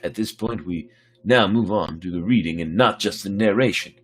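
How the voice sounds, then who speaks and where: A man with a husky voice reading a story aloud as dramatic narration. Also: sits low, moderate pace, fairly bright tone. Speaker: storyteller reading his own sci-fi story on a stream.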